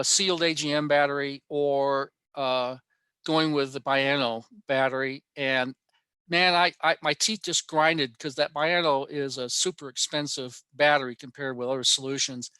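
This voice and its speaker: Bright-voiced man giving a halting technical talk. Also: on the high side, dry recording. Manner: halting, with frequent mid-sentence pauses. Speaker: amateur radio club presenter. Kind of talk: informal technical presentation. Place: online workshop talk.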